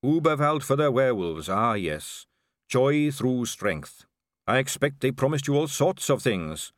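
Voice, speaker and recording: A man voicing a dwarf king who speaks in a low, comfortable, sing-song way with quiet menace. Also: very animated, measured pace, dry recording, clean studio sound. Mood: calm menace.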